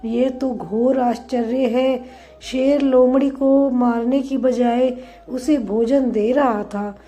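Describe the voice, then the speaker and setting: A woman tells a moral tale in a fairly high voice, taking her time over each phrase. Her delivery is scripted and instructive, with a softened, mellow tone. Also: steady tone, slow. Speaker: storyteller, channel narrator. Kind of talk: scripted moral story narration.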